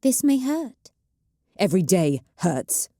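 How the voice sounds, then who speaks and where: A woman's voice: mid-range voice, sing-song, slow pace, dark tone, no echo, studio quality. A woman voicing a battered, stubborn woman navigator in chronic pain, speaking through gritted teeth with acid, commanding force.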